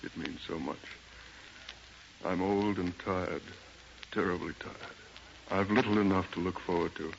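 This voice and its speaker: A man's voice: deep voice, fairly even intonation, creaky. An actor playing an ailing, despairing older man pleading melodramatically in a 1930s radio drama. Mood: weary pleading. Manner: melodramatic, halting, imploring. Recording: muffled, noisy 1930s radio recording.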